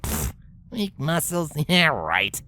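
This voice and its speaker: A man's voice: on the high side, wildly swooping pitch, slow, sharp tone, no room sound, faint music behind, voice pushed up, exaggerated voice. A man voicing a cocky, brash young female pegasus athlete in a fan fiction reading, boastful and slangy. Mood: defensive, boastful.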